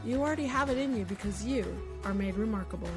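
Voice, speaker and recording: A woman hosting a podcast interview, effusive and encouraging, with a slow, emphatic delivery. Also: medium pitch, over music. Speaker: podcast host and mentor.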